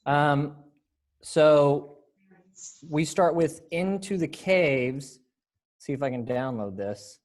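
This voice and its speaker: An encouraging event organizer with a medium-pitched voice, talking casually on a video call. The delivery is upbeat and informal, like someone getting a group ready to perform. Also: slow pace, long pauses, darkish tone. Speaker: organizer of a readers theater event.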